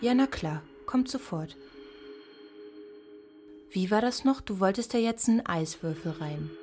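A woman's voice: medium pitch, expressive, a little slow, close to an everyday voice. Woman doing flustered eager waitress in a radio drama, music under her. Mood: flustered, obliging. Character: harried, eager-to-please waitress. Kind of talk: radio play acting. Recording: music behind the voice.

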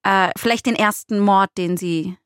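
A woman's voice: mid-range voice, normal speed, clear, resonant tone, no echo, studio quality. A woman chatting casually as a podcast co-host, thinking aloud hesitantly. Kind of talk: casual chat.

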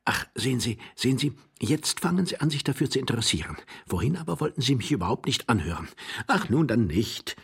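A man voicing a timid, fussy gentleman in an audiobook, flustered, wordy and desperately pleading. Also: medium pitch, very animated, fairly quick, creaky, husky, pitched above the performer's own voice, full character voice. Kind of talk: audiobook character voice.

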